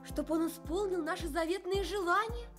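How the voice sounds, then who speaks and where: A woman's voice: very high-pitched, moderate pace, over music. A woman playing a brave, earnest little girl in a children's radio play.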